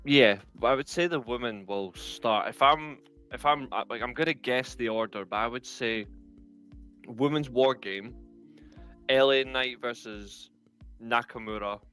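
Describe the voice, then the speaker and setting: A man's voice: medium pitch, fairly bright tone, clear, resonant tone. A tired man, co-hosting a casual live stream and rambling with lots of repeated words. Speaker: co-host.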